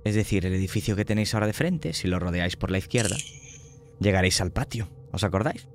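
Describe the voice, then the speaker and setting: A man as game master, narrating a tabletop horror game in an unhurried, descriptive way. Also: lowish voice, a little hiss or hum.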